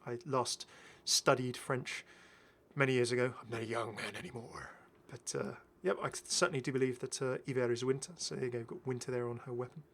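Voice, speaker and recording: A man in middle age hosts a gaming video in a medium-pitched voice. He talks casually and with enthusiasm, circling back to repeat phrases as he comments on what he is showing. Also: fairly even intonation, a little fast, fairly bright tone. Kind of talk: casual game skin showcase commentary.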